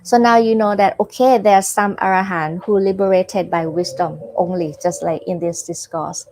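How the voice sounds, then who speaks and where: A Buddhist nun from Thailand with a Thai accent, giving a teaching with live questions and answers. She pauses often and searches for her words. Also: lowish voice, steady tone, darkish tone, slight background noise, poor audio. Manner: hesitant, searches for words with many fillers.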